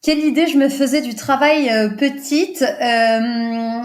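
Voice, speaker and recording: Chatty woman, slightly high voice. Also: unhurried. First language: Portuguese. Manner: hesitant, many fillers, laughs easily. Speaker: podcast guest, recruiter. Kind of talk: casual interview chat.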